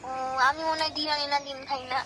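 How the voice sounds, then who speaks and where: A young woman, chatting casually on a phone call with quick, sassy retorts. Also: high-pitched, flat delivery, bright, forceful tone, a little hiss or hum. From West Bengal, India. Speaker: prank call recipient. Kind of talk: casual chat.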